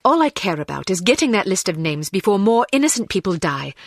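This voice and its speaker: A woman's voice: mid-range voice, big pitch swings, a little fast, dry recording, studio quality. An actress playing a confident, assertive female secret agent in a video game, cool and headstrong. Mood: determined.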